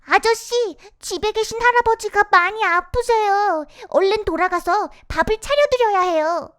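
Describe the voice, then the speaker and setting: A woman's voice: very high-pitched, normal speed. A woman doing a mischievous puppet boy. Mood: sweet. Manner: bright, childlike. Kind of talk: storybook voice acting.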